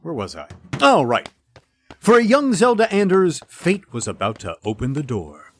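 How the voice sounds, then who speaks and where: A man's voice: on the high side, huge pitch swings, normal speed, a little hiss or hum. An actor playing a fussy, wry male agent with a theatrical storyteller's delivery in an audio comedy drama. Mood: nostalgic, theatrical.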